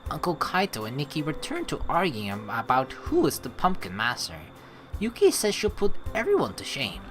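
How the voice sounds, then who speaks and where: A woman's voice: low-pitched, fairly bright tone. A woman hosting a let's play, switching between reading game narration aloud and playful, teasing commentary.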